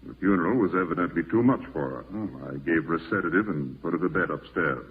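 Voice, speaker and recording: Practical doctor, low measured voice, 1940s radio drama, muffled. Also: male voice, expressive. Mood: matter-of-fact. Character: composed, practical male country doctor. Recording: muffled old-time radio recording.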